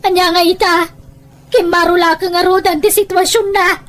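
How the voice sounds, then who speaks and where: Woman acting a very high-voiced, imploring Filipino parent. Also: flat delivery, moderate pace, fairly bright tone. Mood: troubled. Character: worried, pleading parent of a troubled grown child. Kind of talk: Ilocano radio-style drama acting.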